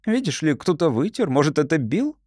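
A man voicing a brisk, suspicious housewife who is exasperated with her husband, in an audiobook story. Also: fairly high pitch, sing-song, a little mellow, no echo, clean studio sound, pitched above the performer's own voice. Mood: puzzled.

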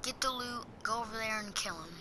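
A young woman with a hoarse, fading voice, slowly narrating her gameplay to viewers and clearing her throat often. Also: a little high. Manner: slow, rambling, talks to viewers. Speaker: gameplay streamer. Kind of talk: casual game commentary. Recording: gameplay audio noise underneath.

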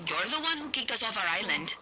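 A woman's voice: medium pitch, big pitch swings, fast talker, bright, forceful tone, a little hiss or hum, low-quality recording. An actress playing a blunt, commanding young woman guerrilla leader who barks orders, in video game dialogue. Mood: briefing.

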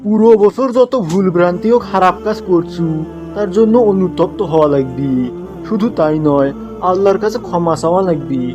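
Man voicing a sarcastic male schoolteacher, high-pitched, Bogura northern Bangladeshi accent, preachy scolding, cartoon dialogue, music behind. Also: normal speed, lightly acted. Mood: stern, preachy. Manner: mock agreement, rhetorical questions, preachy. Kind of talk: comic cartoon dialogue turning into sermon.

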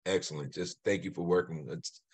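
A middle-aged man giving casual, heartfelt remarks on a video call, haltingly and repeating words. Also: sits low, fairly even intonation, darkish tone, breathy edge. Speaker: actor on a panel.

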